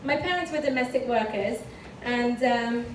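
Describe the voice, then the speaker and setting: British woman giving a talk, kinda high voice, chatty with lots of ums, echoey room, mic a bit far off. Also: normal speed. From United Kingdom. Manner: chatty and candid, frequent fillers, light laughs. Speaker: speaker giving a talk with slides. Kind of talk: conference talk, personal story. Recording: echoey room, distant mic, background noise.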